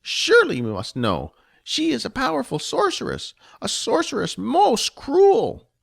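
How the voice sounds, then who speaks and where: A man's voice: extremely high pitch, huge pitch swings, unhurried, gravelly, a bit bright, voice pushed up, exaggerated voice. A man voicing a timid, fearful little female goblin servant who pleads in formal, old-fashioned speech. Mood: frightened. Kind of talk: audiobook character voice.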